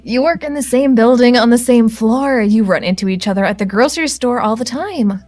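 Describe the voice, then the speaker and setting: A woman's voice: on the high side, a little fast, a little mellow, lightly acted. A woman voicing a nosy, pushy female demon in a visual novel, with a sassy, slightly Valley Girl manner. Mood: pushy, exasperated.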